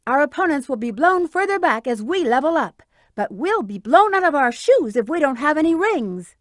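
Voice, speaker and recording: A woman's voice: high voice, big pitch swings, normal speed, dry recording, clean studio sound. A woman voicing a sultry, sassy female bat treasure hunter in a video game, teasing, confident and flirtatious. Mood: instructive, confident. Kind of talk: video game character voice acting.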